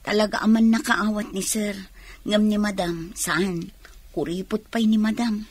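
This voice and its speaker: Casting: no-nonsense Ilocano woman at a police station, husky middle register, radio drama. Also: medium pitch, steady tone, a little slow, dry recording. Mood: frank, practical. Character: practical, frank female police station worker.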